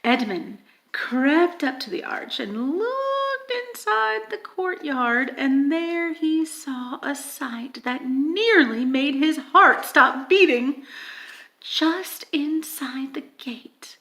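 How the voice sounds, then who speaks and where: A woman reading a children's story aloud in an expressive, dramatic manner, with emphatic stresses and hushed moments. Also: high voice, slow. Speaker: audiobook reader.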